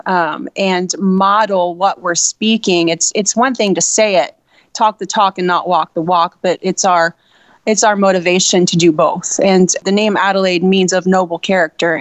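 Low-voiced woman, earnest and dry. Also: no room sound. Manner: earnest, many "you know" fillers. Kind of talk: interview answers.

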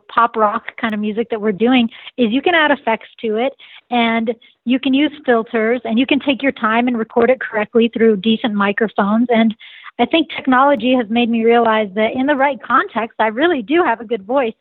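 A woman with a nasal, slightly high voice chats as a guest on an interview show. Her voice comes over a tinny phone line with no room sound at all, so it sounds boxed-in and close. She keeps an easygoing, talkative manner. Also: moderate pace, no room sound. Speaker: musician guest. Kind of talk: casual interview chat.

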